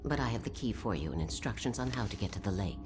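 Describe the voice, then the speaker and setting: Menacing supernatural old woman: very low, hushed, deliberate game villain over music. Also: lively intonation. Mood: coldly menacing.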